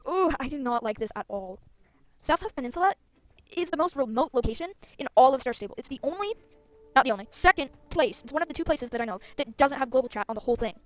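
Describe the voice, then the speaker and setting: A woman with a high voice comments casually on the game she is playing. She thinks aloud in a chatty way, in a close, dry recording. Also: animated, fast talker, no room sound. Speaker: gamer narrating own play.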